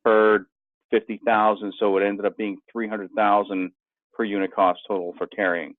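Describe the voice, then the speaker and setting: A man's voice: lowish voice, little pitch movement, normal speed, no room sound. A man speaking conversationally as a student on an online class call, with dull conference-call sound. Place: video conference.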